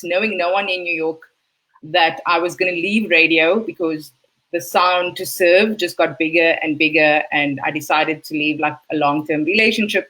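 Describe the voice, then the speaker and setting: A woman's voice: on the low side, rough recording. Chatty South African woman telling stories. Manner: chatty, animated storytelling with laughs. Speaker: guest. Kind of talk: casual interview conversation.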